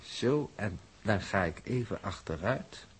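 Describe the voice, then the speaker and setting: Husky, low, pedantic spaceman. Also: lively intonation, measured pace, full character voice, poor audio. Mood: confident, pedantic. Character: earnest, pedantic, absurdly confident male spaceship crewman. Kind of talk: 1960s radio drama acting.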